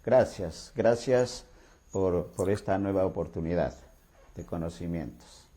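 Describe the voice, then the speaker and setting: A man's voice: sits low, unhurried, warm tone, breathy edge. A man hosting an interview, courteous, with frequent fillers and restarts. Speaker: talk show host.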